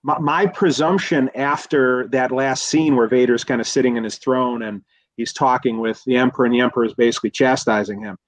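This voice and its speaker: A man in a casual analytical discussion, speaking haltingly and repeating words as he thinks aloud. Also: mid-range voice, dry recording, poor audio. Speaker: guest expert.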